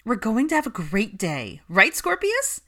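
A woman voicing a giddy, chirpy young nanny witch in an audiobook, animated and perky. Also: a little high, a little slow, voice pushed up, exaggerated voice. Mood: bubbly cheer. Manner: animated, perky, sing-song with excitement. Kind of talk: audiobook character voice.

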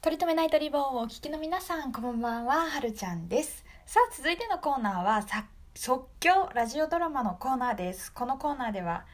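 Animated high-voiced woman radio host. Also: fast, hardly any pauses. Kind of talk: casual radio presenting.

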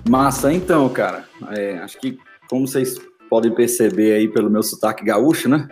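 A Bahian man tells his story as a podcast guest, friendly and rambling, with lots of fillers. His voice sits at a middling pitch. Soft music plays faintly behind him. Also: normal speed. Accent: Bahian Brazilian Portuguese. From Salvador, Bahia, Brazil. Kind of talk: casual storytelling interview.

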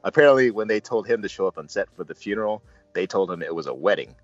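A man hosting a casual movie discussion, retelling scenes in an earnest, halting, colloquial fan's way. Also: on the low side, animated, no echo. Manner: halting, earnest fan talk with colloquial asides. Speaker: movie reviewer and show host. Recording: dull lo-fi audio with faint music. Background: faint music.

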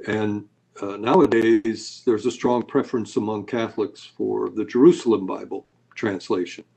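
A man's voice: fairly low pitch, steady tone, normal speed, creaky, darkish tone, husky, low-quality recording. A man answering interview questions as a scholar, lecturing with many hesitations and uhs. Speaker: Bible scholar guest.

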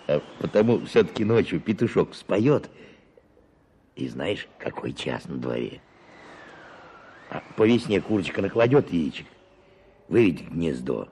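Old watchman, humble, weary, rumbling bass, creaky gravel, folksy storytelling, radio play. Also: mellow, heavily acted. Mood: weary, homely. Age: older. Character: weary old peasant forest watchman, lifelong bachelor, humble. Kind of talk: radio drama acting. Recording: clearly noisy radio play recording.

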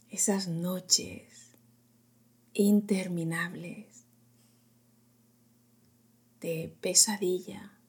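A woman with a very breathy, lowish voice guides a sleep meditation. She speaks softly and soothingly, often slipping into a whisper. Her pace is extremely slow, with long pauses between short phrases.

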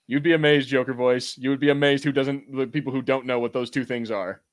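A man's voice: medium pitch, steady tone, brisk. A man co-hosting a podcast, chatting casually through a movie watch party, swearing freely and acting out imagined lines. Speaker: podcast co-host.

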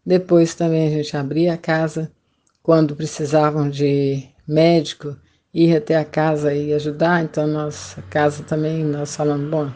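A woman's voice: low voice, level tone, moderate pace, warm tone. An older woman with a Brazilian accent, a retired missionary telling her story conversationally as a podcast guest. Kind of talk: personal testimony, conversational storytelling.

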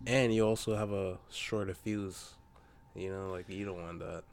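A man's voice: sits low, fairly even intonation, moderate pace, vocal fry, crowd noise. A man from the Bahamas chatting casually over drinks, hesitant, often repeating words and laughing.

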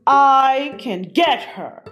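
A woman's voice: sits high, expressive, drawn-out words, fairly bright tone, highs cut off, soft background music, pitched above the performer's own voice, exaggerated voice. A woman voicing an icy, menacing male tentacled monster in a storybook, hissing and drawing out the words. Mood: sinister, eager.

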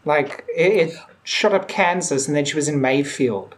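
A woman with a fairly low voice chats casually as a co-host. She sounds tentative, piecing details together aloud as she speaks. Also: normal speed. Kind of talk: casual chat.

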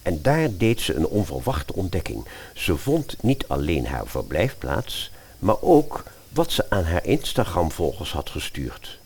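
A man narrating a comic fairy-tale audio drama as an expressive storyteller. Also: sits low, unhurried, breathy edge.

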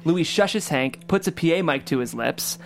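A man's voice: a little high, animated, moderate pace, vocal fry, slight background noise. A man reading scripted stage directions as the narrator of a table read.

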